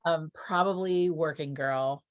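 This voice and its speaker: A woman's voice: sits low, measured pace. A middle-aged woman from Texas giving casual interview answers, thinking aloud and correcting herself as she goes. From Texas, United States. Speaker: interview guest, theatre producer.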